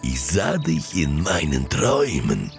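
Slow deep southern seer, pompous and flowery, audio drama, music behind him. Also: male voice, huge pitch swings, gravelly, exaggerated voice. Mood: mystical, flattering. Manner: pompous, ornate, ceremonious. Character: flowery, courteous southern fortune-teller and pipe seller.

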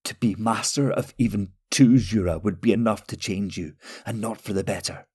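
A man voicing a frail old male wizard who is dying, speaking slowly with pain in his voice. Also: fairly low pitch, a little mellow. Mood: grave. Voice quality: frail, pained, gravelly. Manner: slow, solemn, pleading.

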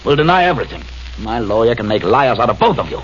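An actor in 1940s radio acting, playing a mocking, menacing gangster boss. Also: male voice, medium pitch, very animated, fast talker, natural voice. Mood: angry. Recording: dull old radio broadcast with faint hiss.

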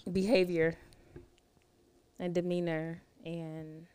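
A woman guest on a podcast with a loud voice, nervous but playful. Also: lowish voice, flat delivery, takes it slowly, clear, resonant tone. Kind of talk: casual chat.

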